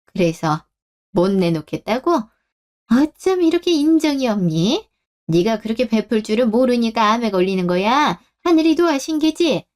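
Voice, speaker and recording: A woman's voice: on the high side, lively intonation, normal speed, darkish tone, natural voice. A woman voicing a callous older mother-in-law in a radio drama, with a haughty, reproachful, nagging delivery. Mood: scornful. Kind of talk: Korean radio-drama story acting.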